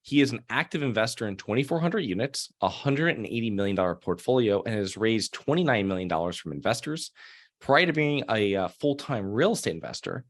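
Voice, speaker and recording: A male podcast host with a somewhat low voice reads a punchy sales pitch, then moves into looser interview talk. His delivery is direct, made for promo and hosting.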